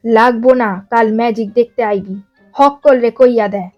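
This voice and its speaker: A woman's voice: on the high side, steady tone, moderate pace, dull audio, faint music behind, lightly acted. A woman voicing a brash, boastful teenage boy with an East Bengali accent and a blustering, emphatic delivery in an audio story. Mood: brusque.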